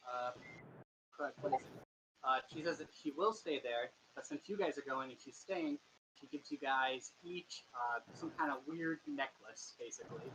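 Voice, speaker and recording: A man's voice: mid-range voice, a bit bright, low-quality recording. A man runs a tabletop game over voice chat, tossing off casual descriptions of the people the party meets. A faint hiss sits under his voice. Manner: casual, describes characters in quick asides. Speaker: game master.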